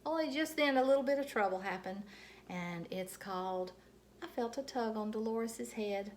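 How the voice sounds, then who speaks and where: High-voiced woman reading a children's story. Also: normal speed, slight background noise. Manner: animated, dramatic emphasis. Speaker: reader of a children's book. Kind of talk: expressive read-aloud storytelling.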